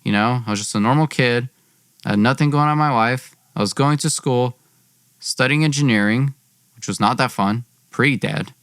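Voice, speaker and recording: A man's voice: medium pitch, moderate pace, fairly bright tone, smooth, no room sound. A young man hosting a podcast, telling a personal story dramatically in an informal, wry way, acting out dialogue. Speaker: podcast host.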